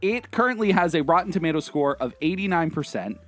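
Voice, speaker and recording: A man's voice: on the high side, expressive, fairly quick, faint music behind. A man co-hosting a casual movie review chat, speaking in an enthusiastic, emphatic way. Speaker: video show host.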